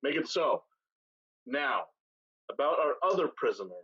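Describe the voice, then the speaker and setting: A man playing a wry, ruthless pirate captain in a staged play reading, measured and authoritative, with dull recorded sound. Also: mid-range voice, lively intonation, bright, forceful tone, slightly rough, heavily acted. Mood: wry command.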